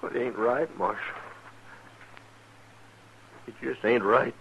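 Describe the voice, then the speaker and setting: A man's voice: medium pitch, fairly even intonation, slow, gravelly, a bit bright, a little hiss or hum. An actor playing a grieving, hot-tempered frontier man with a rural American western accent in a radio drama, folksy and insistent. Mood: stunned grief. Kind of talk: 1950s radio acting. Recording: muffled old radio recording.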